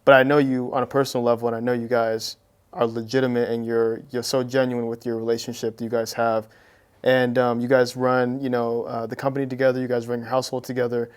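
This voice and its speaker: A young man hosting a casual interview, relaxed, asking long questions and answering with short affirmations. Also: medium pitch, fast talker, clear, resonant tone. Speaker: host.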